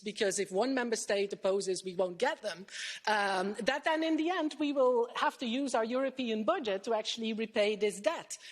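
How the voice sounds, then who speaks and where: Woman giving a parliamentary speech. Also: mid-range voice. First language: Dutch. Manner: earnest, appealing for unity, firm on her concern. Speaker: member of parliament. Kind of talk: prepared floor speech. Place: parliament plenary chamber. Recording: reverberant chamber.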